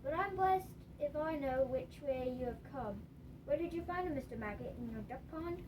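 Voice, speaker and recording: A woman playing a brisk, cheeky young male hobbit, chatty and teasing, in amateur radio theater. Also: sits high, expressive. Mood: cheerful, teasing. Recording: distant mic, clearly noisy home recording.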